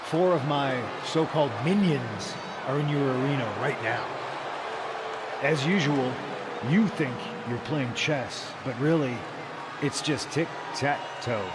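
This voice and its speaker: A man's voice: mid-range voice, slow, close to an everyday voice. An actor playing a smug, contemptuous rebel wrestling leader in a video game, mocking and condescending as he delivers a promo. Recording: very noisy arena sound.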